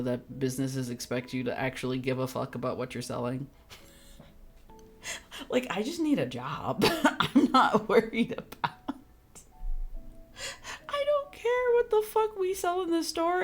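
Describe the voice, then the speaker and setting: Casting: young adult livestreamer, mid-range androgynous voice, lively intonation, giggly, blunt and sweary. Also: mid-range voice. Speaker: game streamer. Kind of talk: casual livestream chat with viewers.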